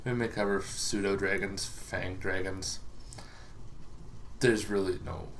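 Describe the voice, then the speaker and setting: A man giving a casual, unscripted explainer as a host, halting and rambling with long pauses, over some background noise. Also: low voice, steady tone, vocal fry. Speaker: host of a tabletop gaming lore series.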